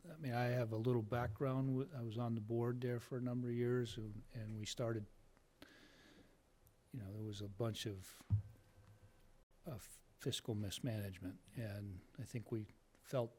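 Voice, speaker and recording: A man's voice: mid-range voice, level tone, moderate pace, a little mellow, slight background noise. A man with an ordinary mid-range voice speaks at a town board meeting. He is direct and asks pointed questions. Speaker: board member. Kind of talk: public meeting discussion.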